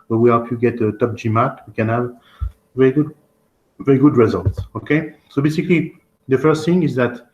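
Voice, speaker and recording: A man with a French accent gives an informal online presentation in a medium-pitched voice. He speaks as a consultant addressing students, often restarting and repeating phrases as he explains. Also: rough recording. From France. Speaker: presenter, admissions test-prep consultant.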